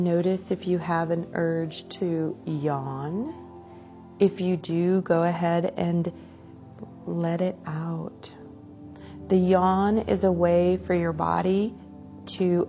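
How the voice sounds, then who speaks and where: Slow, low-voiced woman guiding meditation. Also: dull audio. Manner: soothing, unhurried, drawn-out phrasing with soft trailing endings. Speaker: guided meditation leader. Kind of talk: slow guided meditation for a group class.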